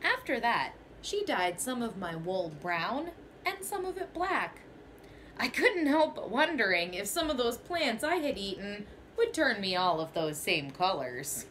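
A woman's voice: medium pitch, big pitch swings, a little slow, fairly bright tone, slight background noise. A young woman teacher reading a children's picture book aloud in a small bedroom, telling it expressively in the first person.

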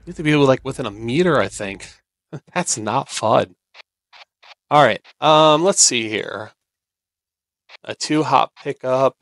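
A man's voice: medium pitch, takes it slowly, long pauses, a bit bright, dry recording. A man giving casual game commentary, thinking aloud in short phrases. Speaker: gameplay streamer.

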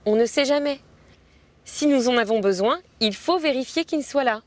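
A woman's voice: high-pitched, animated, normal speed, no echo. An actress in her twenties playing a cautious, rule-minded young woman in a scripted lesson dialogue. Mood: cautious, insistent. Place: outdoors on a city street, by and in a car. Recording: faint street and vehicle noise.

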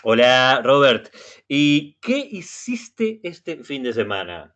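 A man from Uruguay with a Uruguayan Spanish accent, leading an online Spanish conversation class, speaking slowly and patiently and repeating words for learners. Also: mid-range voice, sing-song, fairly bright tone. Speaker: language class facilitator. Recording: muffled web meeting audio.

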